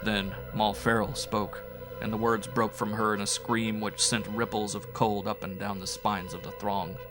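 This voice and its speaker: A man's voice: lowish voice, flat delivery, moderate pace, rough recording. A man narrating a horror audiobook in a theatrical, brooding, old-fashioned storytelling manner. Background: music.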